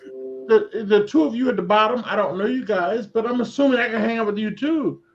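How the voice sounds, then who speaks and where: High-voiced rambling man on lo-fi call. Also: fairly quick, a little hiss or hum. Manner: rambling, stumbles and restarts, swears. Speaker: guest on a group stream. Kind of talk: casual group chat. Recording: dull lo-fi call audio.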